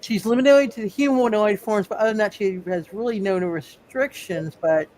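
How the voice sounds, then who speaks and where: A game master casually refereeing a tabletop roleplay session, thinking aloud in repeated, halting phrases. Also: mid-range voice, darkish tone, a little hiss or hum.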